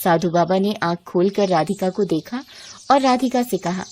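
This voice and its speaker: A woman's voice: medium pitch, normal speed, darkish tone, slight background noise. A woman storyteller reading scripted narration for a moral bedtime tale.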